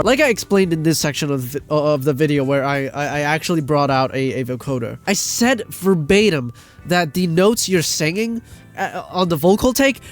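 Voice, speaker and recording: A man delivering a casual, exasperated rant as a video creator, blunt and emphatic, with swearing. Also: on the high side. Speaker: video creator ranting about music production.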